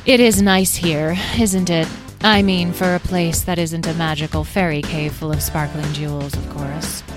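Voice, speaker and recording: A woman's voice: sits low, steady tone, normal speed, music in the background, lightly acted. A woman voicing a proud, imperious fairy leader in a storybook who snaps and lectures the others. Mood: smug. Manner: snappish and haughty.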